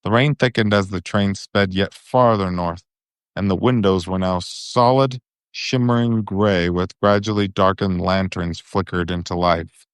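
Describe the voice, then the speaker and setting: A man's voice: low voice, fairly even intonation, measured pace, clean tone, dry recording, clean studio sound. A man narrating a storybook for bedtime in an informal, occasionally stumbling way.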